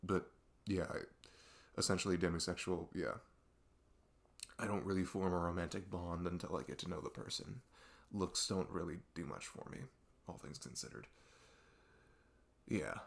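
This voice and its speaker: A man playing a best friend who confides about a fight with his parents in a casual, halting, rambling ASMR roleplay style. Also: low-pitched, vocal fry. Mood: earnest, explaining. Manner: halting, rambling, exasperated sighs.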